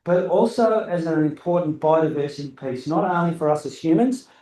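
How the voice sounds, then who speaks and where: A middle-aged man with a booming voice speaks as a guest speaker at a club meeting. His talk is loose and rambling, full of restarts. He sits a little high for a man, recorded from a distance in an echoey room. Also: brisk, mellow. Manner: loose, rambling, self-correcting. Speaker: guest speaker, landscape architect. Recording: roomy echo, distant camera.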